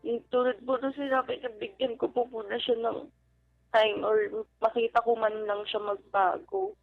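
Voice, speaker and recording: A high-pitched, creaky woman caller opens up about personal matters in an earnest, halting way. She pleads gently and sounds emotional, coming through a thin phone line with music playing underneath. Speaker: caller seeking relationship advice. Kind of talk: personal, confessional phone-in talk. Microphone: phone call.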